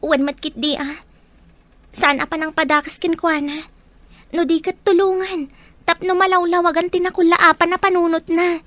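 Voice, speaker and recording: A woman's voice: very high-pitched, fairly even intonation, normal speed, a bit bright, no echo. A woman playing a concerned, caring young female student in an audio drama, with an Ilocano Filipino accent. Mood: earnest, caring.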